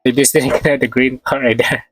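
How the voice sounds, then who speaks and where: A man's voice: mid-range voice, big pitch swings, normal speed, fairly bright tone, husky, soft background music. A man chatting casually on a game livestream, thinking aloud in short, hesitant fragments. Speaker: game streamer. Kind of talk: casual livestream gameplay chat.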